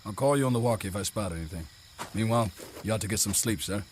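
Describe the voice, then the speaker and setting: A man's voice: lowish voice, fast talker, slight background noise, lightly acted. An actor playing a loyal, cautious soldier who speaks respectfully and hesitantly to his captain. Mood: calm. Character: loyal, cautious army sergeant. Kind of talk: classic radio drama acting.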